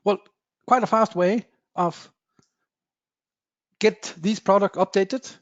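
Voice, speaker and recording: Man giving a software demo. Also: high voice, slow, no echo. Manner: plain explanatory talk with frequent uh fillers, non-native phrasing. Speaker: software presenter. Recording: dull dry webinar audio.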